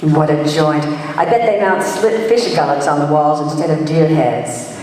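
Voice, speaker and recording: A woman's voice: low voice, unhurried, darkish tone, a little hiss or hum. Sardonic lady detective, very echoey hall. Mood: tough, breezy. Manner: wisecracking, bossy. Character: Candy, brisk sardonic female private detective. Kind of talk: old-time radio detective play. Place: live stage performance in a hall. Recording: very echoey hall, distant microphone.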